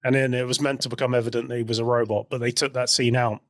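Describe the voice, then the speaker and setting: A British man talks in the informal, opinionated way of a podcast co-host. His voice sits at a middling pitch. The recording is dry, with very little room sound. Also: level tone, quick pace, a bit bright, dry recording. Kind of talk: casual chat.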